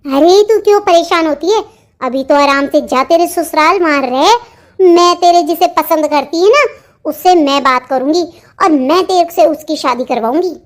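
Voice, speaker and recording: A woman's voice: very high-pitched, moderate pace. A woman voicing a scheming, soothing young sister-in-law in a melodramatic Hindi cartoon, coaxing and placating the people around her.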